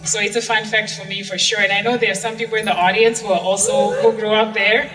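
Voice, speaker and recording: A woman's voice: medium pitch, little pitch movement, fast talker, a crowd in the background. A woman hosting a live event, introducing the next storyteller in a chatty way. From Zimbabwe. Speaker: event host. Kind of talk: stage introduction. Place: art museum event stage with audience. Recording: distant mic in a reverberant hall.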